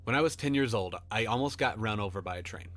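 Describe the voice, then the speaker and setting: A man's voice: on the low side, fast, fairly bright tone, faint music behind. A man reading his own fiction as a scripted first-person horror story narration.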